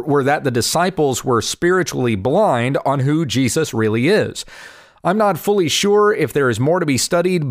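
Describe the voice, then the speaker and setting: A man in his forties, slightly hoarse from allergies, earnestly explaining Bible passages and answering viewer questions. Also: medium pitch, dry recording, studio quality. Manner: earnest, explanatory, reads scripture aloud. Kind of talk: casual Bible teaching video. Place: home in Kansas.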